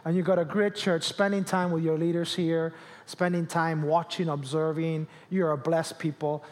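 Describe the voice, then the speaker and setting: Lively preacher in a hall. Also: male voice, on the high side, moderate pace. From Spain, Canary Islands. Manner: animated, jokey, talks to the crowd. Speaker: guest pastor. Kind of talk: sermon with humor. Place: church hall with audience. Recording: long reverb of a large hall.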